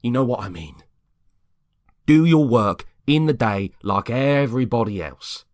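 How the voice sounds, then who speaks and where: A man's voice: medium pitch, lively intonation, a little slow, darkish tone, no echo, clean studio sound, pitched above the performer's own voice, lightly acted. A man playing an exhausted, irate neighbour at breaking point in a dramatised story reading, complaining emphatically. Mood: frustrated.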